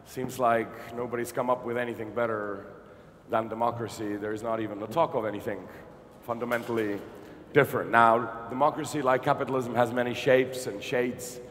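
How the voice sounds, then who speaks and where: A man at middle pitch moderates a conference panel, joking easily between halting restarts. His voice rings in a long hall reverb with a faint hum of noise underneath. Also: steady tone, a bit bright.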